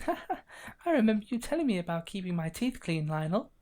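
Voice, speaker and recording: A woman voicing an expressive guide who speaks directly to a child in an animated story. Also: mid-range voice, moderate pace, close to an everyday voice. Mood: friendly. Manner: expressive, addressing the listener directly. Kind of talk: scripted children's animation narration.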